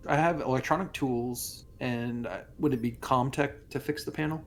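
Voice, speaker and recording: A man's voice: mid-range voice, fairly even intonation, normal speed, slight background noise. A man playing a tabletop game, speaking practically and asking rules questions. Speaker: player. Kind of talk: casual tabletop play.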